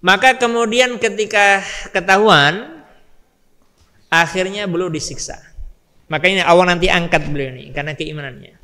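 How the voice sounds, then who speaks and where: A man's voice: high voice, normal speed, pauses often, bright, forceful tone. A man preaching in a storytelling style, emphatic, repeating phrases and putting questions to his listeners. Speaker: Islamic preacher. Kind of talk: storytelling sermon to a live congregation. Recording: long hall reverb.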